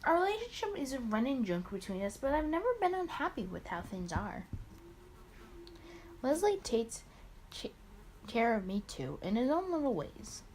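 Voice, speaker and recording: A woman's voice: fairly high pitch, very animated, normal speed, a little mellow, a little hiss or hum, poor audio. A woman voicing a wry, caring male detective who narrates a visual novel, with a dry story-reading delivery. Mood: fond.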